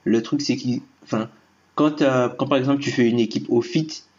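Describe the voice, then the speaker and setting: A man's voice: mid-range voice, fairly even intonation, moderate pace, darkish tone. A man from Guadeloupe on a sports chat panel, thoughtful and searching for his words. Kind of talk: casual basketball chat. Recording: dull lo-fi sound.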